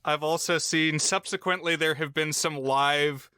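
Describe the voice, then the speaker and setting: Bright, crisp-voiced man recapping a film. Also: fairly high pitch, steady tone, a little slow, no room sound. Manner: wry, dry humor. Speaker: podcast co-host. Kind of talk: casual chat, recapping a film.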